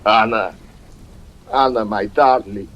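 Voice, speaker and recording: A man's voice: on the low side, steady tone, slow, a bit bright, muffled recording. An actor in 1940s radio acting style, playing a scheming man who croons and then pleads theatrically. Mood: crooning. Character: scheming man with a cold, false tenderness.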